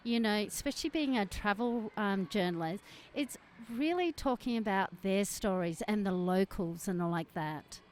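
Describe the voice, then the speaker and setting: A woman's voice: medium pitch, moderate pace, darkish tone, slight background noise. Australian woman with a rambling radio chat. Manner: rambling, many fillers and restarts. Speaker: travel journalist, guest. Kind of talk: radio interview.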